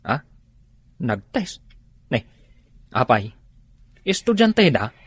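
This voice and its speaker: A nosy, naive worker who grumbles and wisecracks. His voice is husky and very lively in pitch, with an Ilocano accent, in radio drama style. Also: slow, dry recording, full character voice. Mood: puzzled, nosy.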